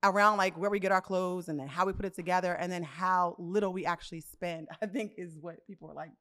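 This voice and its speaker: A woman's voice: fairly low pitch, steady tone, fast, a bit bright. A woman in her fifties co-hosting a podcast, chatting casually and animatedly, often laughing. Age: 50s. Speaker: podcast co-host. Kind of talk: casual chat. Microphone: studio mic.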